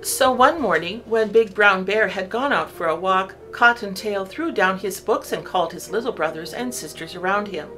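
A woman narrating a children's story from a script, in an expressive, deliberate storytelling way. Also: mid-range voice, faint music behind, low-quality recording.

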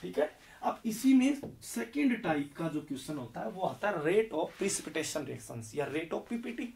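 A hoarse young man teaching chemistry at a board, explaining step by step and asking questions that he answers himself. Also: high-pitched, very animated, a little slow, darkish tone, recorded from a distance. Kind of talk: whiteboard lecture. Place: indoor classroom in front of a green board.

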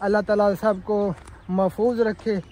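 A man's voice: sits high, little pitch movement, a little slow, a little mellow. A man, chatting casually to viewers while filming himself outdoors in a village. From Pakistan. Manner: chatty, pious, showing things to viewers. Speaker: vlogger. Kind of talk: casual village vlog narration. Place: outdoors in rural fields and a village courtyard. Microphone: phone held at arm's length.

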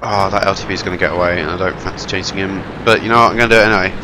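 A man's voice: low voice, fairly even intonation, rapid-fire, background noise. A young man with a stuffy head cold giving rambling, wry commentary over a tank game. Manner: rambling, wry, self-deprecating. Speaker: gameplay commentator. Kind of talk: casual gameplay commentary. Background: game sounds, gunfire and engines.

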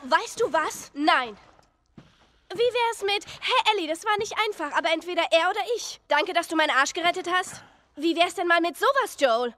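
A woman's voice: very high-pitched, animated, normal speed, fairly bright tone, no echo. A woman voicing a feisty, stubborn teenage girl in a dramatic video game, blunt and cheeky. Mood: indignant.

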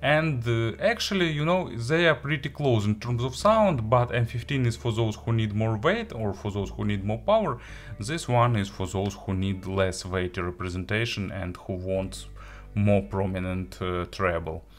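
A man who is a non-native English speaker, giving an unscripted product review in a halting, hesitant way with frequent pauses. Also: fairly low pitch, expressive, soft background music. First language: not English. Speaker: audio gear reviewer.

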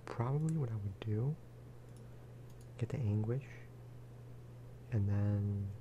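Hushed, low-voiced man doing soft-spoken ASMR. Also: a little hiss or hum. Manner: hushed, slipping into whispers, casual and rambling. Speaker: gaming video host.

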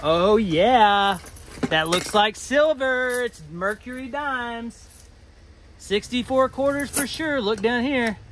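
A man in his thirties filming himself in a metal storage unit, giving excited running commentary as he digs through boxes of collectibles. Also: very high-pitched, slow pace. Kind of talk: casual vlog narration. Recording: noisy storage unit ambience.

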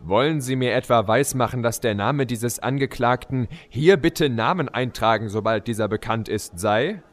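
A man's voice: mid-range voice, moderate pace, lightly acted. A man playing a formal, easily exasperated judge keeping order in a comedy courtroom audio play.

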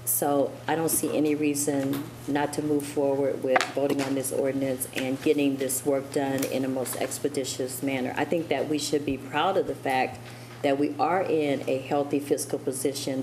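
A middle-aged woman alderman speaks at a city council meeting. Her voice sits low and dark with little rise or fall. She talks in a measured, deliberate way into a desk microphone in the chamber. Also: little pitch movement, slight background noise, rough recording.

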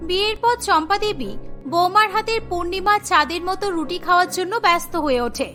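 A woman narrates a scripted cartoon tale in a very high, bright voice. Soft music plays behind her storytelling. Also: brisk.

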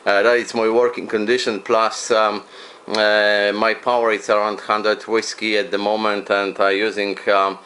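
A man's voice: fairly low pitch, little pitch movement, normal speed, sharp tone, a little hiss or hum. A man making casual amateur radio contact, politely and in hesitant English, using phonetic call signs. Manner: friendly, polite, hesitant non-native English. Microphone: radio desk microphone.

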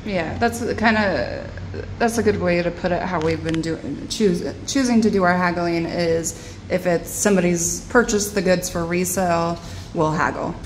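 A woman's voice: on the low side, moderate pace, slight background noise, poor audio. A woman co-hosting a casual travel vlog, chatty and adding details, in an apartment room. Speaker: travel vlogger, co-host. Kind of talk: casual chat.

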